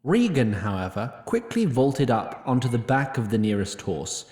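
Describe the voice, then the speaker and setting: A man's voice: medium pitch, big pitch swings, moderate pace, darkish tone, roomy sound. A man narrating a fantasy audio drama from a script, dry, formal and wry.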